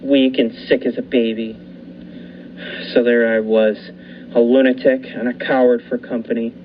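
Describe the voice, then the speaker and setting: A man playing a haunted lighthouse keeper who narrates a radio thriller with dramatic, deliberate pauses. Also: medium pitch, natural voice. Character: haunted lighthouse keeper recounting his ordeal. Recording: muffled lo-fi recording with faint noise.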